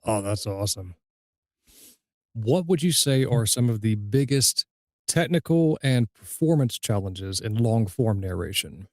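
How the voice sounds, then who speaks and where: A man's voice: on the low side, lively intonation, a little slow, a little mellow, no echo, clean studio sound. A man hosting an interview, asking questions in a casual, conversational style. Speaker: podcast interviewer.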